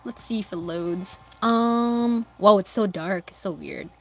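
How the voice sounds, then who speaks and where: A young woman chatting casually with her viewers while drawing, answering chat and humming along, her voice slightly hoarse. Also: medium pitch, a little slow. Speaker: livestreamer drawing anime fan art.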